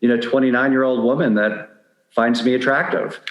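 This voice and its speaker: A man's voice: mid-range voice, fairly even intonation, normal speed, highs cut off. Middle-aged man in an echoey room. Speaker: podcast guest giving dating advice. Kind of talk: candid conversational interview.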